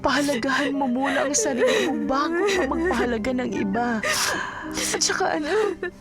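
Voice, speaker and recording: A woman's voice: high voice, expressive, normal speed, loud music behind the voice. An actress playing a loving middle-aged mother earnestly counselling a daughter in a Filipino radio drama, with a Filipino accent. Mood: consoling, emotional. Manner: earnest, emotional, motherly advising.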